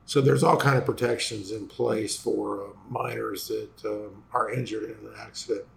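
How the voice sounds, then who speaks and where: Male host, lawyer type, raspy and gravelly, voice failing, halting casual delivery full of restarts. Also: on the low side, steady tone, a little hiss or hum. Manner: halting, many fillers and restarts. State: losing voice after vocal cord injections. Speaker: personal injury lawyer and host. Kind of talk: casual explanatory talk to viewers.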